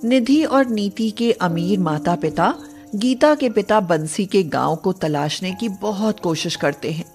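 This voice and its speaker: A woman's voice: medium pitch, animated, a little slow, darkish tone, soft background music. A woman narrating a scripted story as the storyteller.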